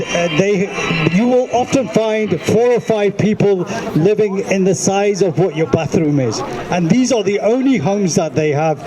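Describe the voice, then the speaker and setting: A man's voice: high voice, rough recording. A man giving an earnest speech at an outdoor rally, listing his points one by one with some fillers. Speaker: rally speaker. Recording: crowd and street noise outdoors.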